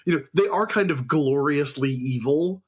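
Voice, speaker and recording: A man's voice: a little high, moderate pace, husky. A man co-hosting a podcast, chatting casually and telling stories with wry enthusiasm. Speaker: podcast co-host. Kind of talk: casual chat.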